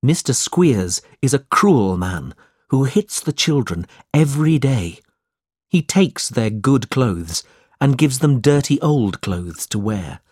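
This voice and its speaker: A man's voice: medium pitch, sing-song, takes it slowly, darkish tone, breathy edge, no echo, clean studio sound, exaggerated voice. A man voicing a brave, protective young man in a storybook, speaking earnestly and with defiance. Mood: indignant.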